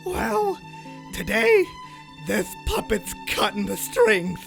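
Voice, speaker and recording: A man's voice: very high-pitched, huge pitch swings, slow pace, creaky, music in the background, heavily acted. A man voicing a bitter, grouchy, self-pitying fairyland guide in a comic dub, griping dramatically. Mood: drunken self-pity. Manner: foul-tempered, whiny, dramatic griping.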